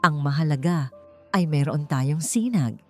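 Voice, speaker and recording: A woman with a Filipino accent voicing a grateful, gentle young wife and mother in a romance story, soft-spoken and heartfelt. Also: low-pitched, a little slow, faint music behind. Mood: serene.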